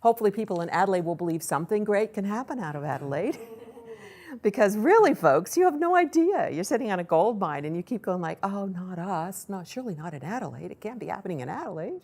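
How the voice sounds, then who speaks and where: A woman presenting a startup case study to an audience in a lively storytelling way, acting out what people said. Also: medium pitch, fast talker. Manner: lively storytelling, acts out quoted voices. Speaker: accelerator program director giving a presentation.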